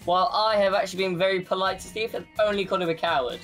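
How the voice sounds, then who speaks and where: A man's voice: high-pitched, steady tone, rapid-fire, fairly bright tone. A man playing a cheeky, eager superhero sidekick in a casual improvised video game roleplay. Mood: cheeky, teasing. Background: music.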